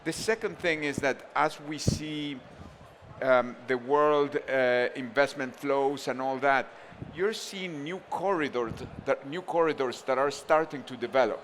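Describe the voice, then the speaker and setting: A man's voice: mid-range voice, a little slow, a bit bright, a little hiss or hum. A man from Argentina speaking on a conference panel, thinking aloud with frequent restarts and fillers.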